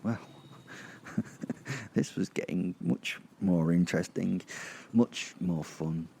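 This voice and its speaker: A man narrates a horror audio drama in character. His low voice is heavy with creak and dark in tone. He tells it sardonically, halting and repeating phrases for dramatic weight. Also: a little hiss or hum. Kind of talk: first-person dramatic storytelling in character.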